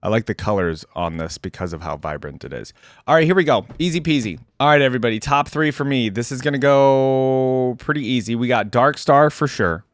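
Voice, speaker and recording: A man's voice: medium pitch, lively intonation, brisk, no echo. A man giving casual, informal reaction commentary as a video creator, thinking aloud and picking at details.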